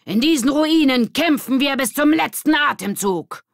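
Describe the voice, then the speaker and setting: Woman acting a loud, boisterous schoolboy show-off. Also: high-pitched, lively intonation, moderate pace, no echo, clean studio sound, pitched above the performer's own voice. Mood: bombastic. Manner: loud declaiming.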